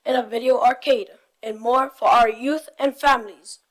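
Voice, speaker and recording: A woman's voice: on the high side, fairly even intonation, moderate pace, rough recording. A young woman from Tonga reading a prepared presentation as part of a youth group.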